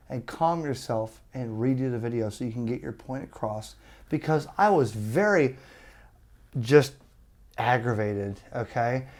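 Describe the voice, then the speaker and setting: Emphatic man ranting, swinging pitch. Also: a little mellow. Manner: emphatic, exasperated, blunt. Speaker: ham radio YouTuber. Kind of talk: opinionated rant to viewers.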